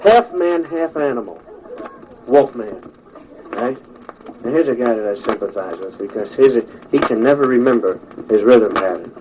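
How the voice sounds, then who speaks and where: A man's voice: mid-range voice, lively intonation, moderate pace, highs cut off. A man doing a casual, conversational stand-up comedy routine on a noisy old recording. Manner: casual, conversational, acts out bits.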